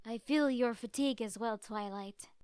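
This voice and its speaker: A woman voicing a dignified, gentle pony princess with formal, stately phrasing in a fan fiction reading. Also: on the high side, normal speed, smooth, dry recording, natural voice. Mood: weary, sympathetic. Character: dignified, gentle, regal dark alicorn princess mare.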